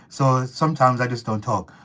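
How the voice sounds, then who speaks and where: Hoarse man with a damaged voice. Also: medium pitch. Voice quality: changed, hoarse voice from one working vocal cord. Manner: relaxed storytelling, addresses viewers as guys. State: talking hurts. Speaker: co-host of family channel. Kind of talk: casual live chat.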